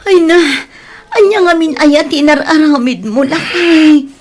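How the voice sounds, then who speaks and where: A woman's voice: high voice, lively intonation, unhurried, a little mellow, soft background music. A woman playing a worried, scolding but practical wife in an Ilocano radio drama, with an exasperated, admonishing manner. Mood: upset, scolding.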